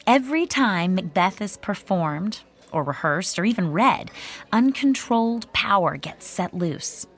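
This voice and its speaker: A woman's voice: mid-range voice, animated, unhurried. An actress playing an earnest, superstitious theatre woman who explains magic lore in a conversational radio drama style. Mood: earnest, explanatory.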